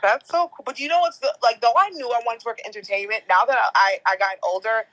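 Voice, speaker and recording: A woman's voice: quick pace, fairly bright tone, no room sound, rough recording. A young woman on a podcast, a chatty entertainment host who laughs often and tells stories in slangy, casual talk. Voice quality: deeper natural voice. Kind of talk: casual interview chat.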